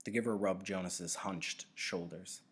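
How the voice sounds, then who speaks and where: A teacher reading a novel aloud, narrating in a steady, even way. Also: male voice, deep voice, unhurried, husky.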